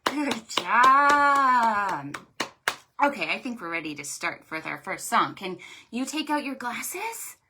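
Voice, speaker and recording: A woman hosting a preschool storytime, speaking to small children in an animated, sing-song, exaggerated way. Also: on the high side, slow pace, a little hiss or hum. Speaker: children's librarian, storytime host.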